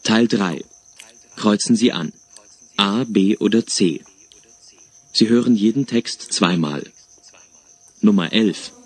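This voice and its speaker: A man's voice: fairly low pitch, slow, pauses often, husky. A man reading out the instructions and item numbers of a listening test. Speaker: exam narrator.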